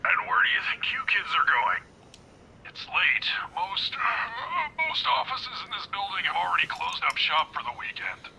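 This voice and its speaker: Brief: a chatty older night guard for a visual novel, bright, lively, slightly high voice. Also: male voice, moderate pace, creaky, slight background noise, exaggerated voice, low-quality recording. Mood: questioning. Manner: chatty, jovial, fumbles names. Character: friendly forgetful older male security guard. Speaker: security guard.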